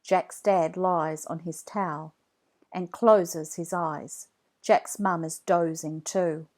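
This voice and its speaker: Female children's storybook narrator: unhurried, fairly low voice, expressive read-along delivery.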